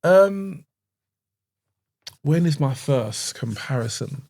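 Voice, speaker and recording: Gravelly young man, laid-back co-host. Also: fairly high pitch, animated, takes it slowly, darkish tone, no echo. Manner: laid-back, reflective, slang-heavy. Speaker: podcast co-host. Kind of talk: casual podcast chat.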